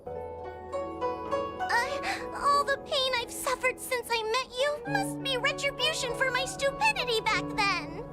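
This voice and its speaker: Very high-pitched little fox girl. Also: big pitch swings, slow pace, music in the background, full character voice. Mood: upset, complaining. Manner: childlike, plaintive, earnest. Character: tiny timid earnest fox girl, a child. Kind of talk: video game character acting.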